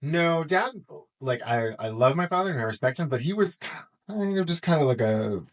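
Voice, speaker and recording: A man's voice: a little high, lively intonation, normal speed. Middle-aged American man in casual conversation. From Texas, United States. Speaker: podcast guest, parenting podcaster.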